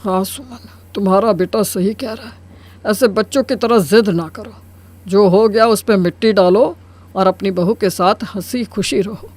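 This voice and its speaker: A man's voice: sits high, a little slow, a little mellow. A man playing a middle-aged, easygoing father-in-law in a Hindi cartoon story, soothing and reasoning in manner. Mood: calming, firm. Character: kindly, easygoing father-in-law who keeps the peace.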